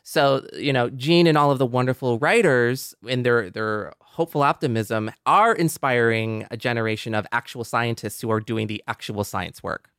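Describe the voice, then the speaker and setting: A man's voice: mid-range voice, moderate pace, hardly any pauses, fairly bright tone, smooth, no echo, clean studio sound. A man co-hosting a podcast, talking in an earnest, conversational way. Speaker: podcast co-host.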